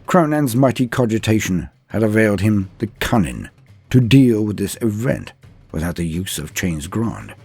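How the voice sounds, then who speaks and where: A man's voice: on the low side, sing-song, moderate pace, a little mellow, husky, soft background music. A man narrating a comedic mock-epic story with archaic phrasing and joking asides.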